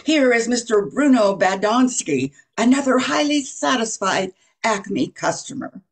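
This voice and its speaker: A woman playing a radio announcer, reading scripted old-time commercials with a husky, creaky voice. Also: on the high side, lively intonation, measured pace, full character voice. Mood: selling. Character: cheerful female radio ad announcer.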